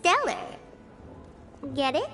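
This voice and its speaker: A woman voicing a tiny, excitable childlike fairy companion with a squeaky voice in an animated video game. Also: takes it slowly, over loud music, full character voice. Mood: cheerful, proud.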